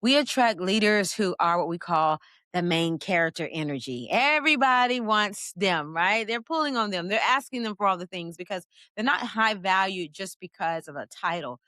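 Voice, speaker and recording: A woman from Florida hosting an interview podcast, effusive and upbeat with sweeping swings in pitch, speaking over a video call. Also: lively intonation, moderate pace, sharp tone, no room sound. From Florida, USA. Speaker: podcast host.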